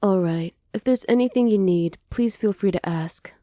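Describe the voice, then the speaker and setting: A sly, self-assured witch played by a woman speaks softly in a warm, dark-toned voice for an ASMR roleplay. She is flirtatious and hospitable, teasing and flattering as she goes. The sound is very dry, with no room around it. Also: medium pitch, moderate pace, no echo, lightly acted. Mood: welcoming. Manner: soft-spoken, teasing, flattering, partly whispered. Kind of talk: ASMR roleplay, slow scripted acting.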